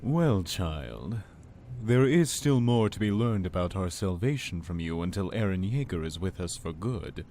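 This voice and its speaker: A man playing a manipulative, falsely tender cult lord in an audio drama, speaking in deliberate, condescending, formal phrases. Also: low voice, animated, darkish tone, slight background noise. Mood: soothing control.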